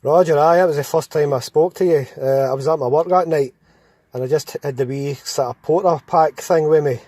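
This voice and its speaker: A man's voice: a little high, a little fast, dark tone, no room sound. A Scottish man chatting casually as a CB radio operator.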